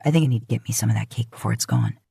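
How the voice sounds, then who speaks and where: A woman's voice: a bass voice, sing-song, rapid-fire, gravelly, dark tone, husky, no room sound, voice pushed down, exaggerated voice. A woman voicing a flirtatious, easygoing man in his mid-twenties with a casual, teasing drawl, in an audio fan fiction. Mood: playful, coaxing.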